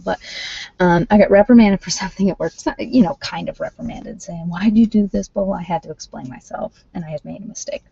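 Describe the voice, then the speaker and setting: A woman chatting casually as a livestream host reading cards, thinking aloud in an informal, halting way, on dull lo-fi audio. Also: fairly low pitch, vocal fry, mellow, husky, dry recording. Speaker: livestream host doing tarot card readings. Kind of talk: casual live chat with viewers, card reading. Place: at home, indoors.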